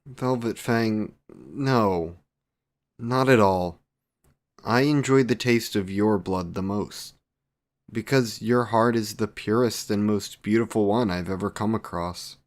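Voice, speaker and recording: A man's voice: fairly low pitch, takes it slowly. A man playing a courtly, devoted, youthful vampire boyfriend in a romantic audio roleplay, with archaic, formal wording and tender endearments. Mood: gentle concern. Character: courtly, devoted 128-year-old male vampire boyfriend, youthful, doting.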